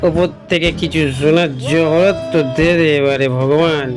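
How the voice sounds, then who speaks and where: Man as cheeky high-voiced village drunkard. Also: fairly even intonation, normal speed, close to an everyday voice. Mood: maudlin grumbling. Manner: crude, whining, wheedling. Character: cheeky, grumbling village drunkard begging for booze. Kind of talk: comic Bengali cartoon dubbing. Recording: music behind the voice.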